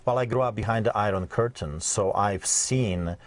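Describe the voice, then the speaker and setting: A pastor from Poland, speaking earnestly and emphatically as an interview guest. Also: male voice, lowish voice, normal speed, dry recording.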